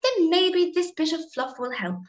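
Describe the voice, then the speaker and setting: Woman as a high-voiced, helpful mother rabbit. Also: expressive, a little slow. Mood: concerned, helpful. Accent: Irish. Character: friendly mother rabbit, proud then helpful. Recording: muffled, echoey room recording.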